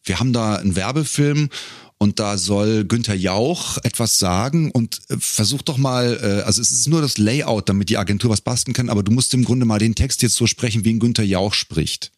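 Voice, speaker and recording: A man's voice: fairly low pitch, fairly quick, no echo, studio quality. A middle-aged man talking casually and reflectively as an interview guest, feeling his way through phrases. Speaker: guest, professional voice actor.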